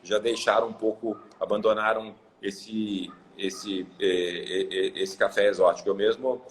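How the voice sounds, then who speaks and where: A man's voice: lowish voice, steady tone, a little slow, slight background noise, rough recording. A Brazilian man from Ribeirão Preto, talking casually as a guest on a live video call, rambling with many fillers and restarts. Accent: Brazilian Portuguese. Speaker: guest, coffee exporter.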